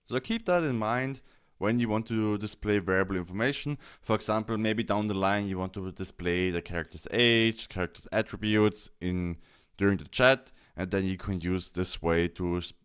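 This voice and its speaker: A man with a fairly low, clean and resonant voice narrates a software tutorial. He explains each step in turn, sometimes stopping to rephrase himself. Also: moderate pace, clean tone. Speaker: tutorial presenter.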